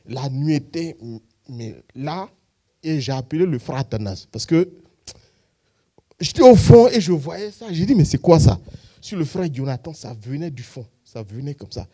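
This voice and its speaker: A man's voice: a little high, sing-song, moderate pace, mellow, husky. A man giving a spontaneous testimony in a church service, hesitant and full of fillers. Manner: hesitant, many fillers and restarts. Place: church hall.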